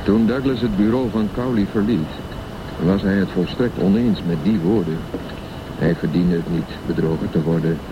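A man's voice: deep voice, normal speed. A man reading scripted narration and credits for a radio drama, as narrator and announcer. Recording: dull sound with loud background noise.

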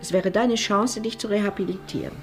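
A woman's voice: mid-range voice, steady tone, brisk, over music, close to an everyday voice. An actress playing a concerned, insistent society woman in a radio drama, coaxing in a theatrical manner.

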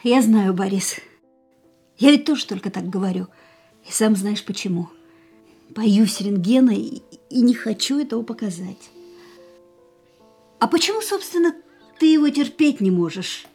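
A woman's voice: medium pitch, lively intonation, moderate pace, pauses often, warm tone, natural voice. An actress in a radio drama playing a restless, defiant young woman who hides her fear, with an emotionally charged delivery. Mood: wistful, restless. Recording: studio radio play with background music.

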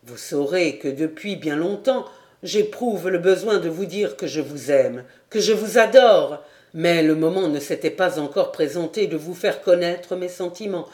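A woman's voice: on the low side, measured pace, a little mellow, natural voice. Give me a woman reading as a resolute young nobleman speaking earnestly in a storybook. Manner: earnest, declaiming.

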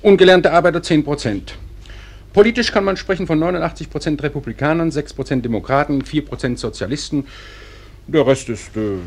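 An actor playing a dry, easygoing small-town newspaper publisher with a husky voice, speaking with small hesitations in a radio play. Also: male voice, a little high. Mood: dry, official. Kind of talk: German radio play acting.

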